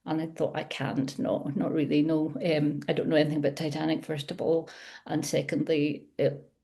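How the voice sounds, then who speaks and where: A woman's voice: deep voice, flat delivery, normal speed, vocal fry, mellow. A middle-aged Scottish woman, a children's author, chatting in an interview, laughing often and poking fun at herself. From Scotland. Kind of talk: casual interview chat.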